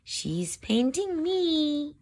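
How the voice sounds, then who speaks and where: A woman reading a picture book aloud for young children, with deliberate phrasing and expressive emphasis. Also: high-pitched.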